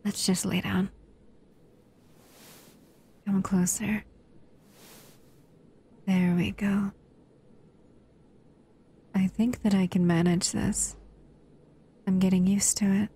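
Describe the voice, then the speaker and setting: A woman voicing a doting, seductive female shadow monster in an intimate ASMR roleplay, breathy and soothing, often whispering. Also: medium pitch, flat delivery, takes it slowly, pauses often, dark tone, exaggerated voice. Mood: intoxicated, affectionate.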